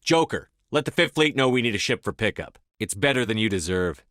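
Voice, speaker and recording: A man acting in a video game as a commanding, resolute space soldier, speaking firmly with measured authority. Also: fairly low pitch, animated, fairly bright tone, no room sound, studio quality. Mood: earnest, resolute. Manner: firm, authoritative, measured delivery. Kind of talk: scripted game dialogue acting.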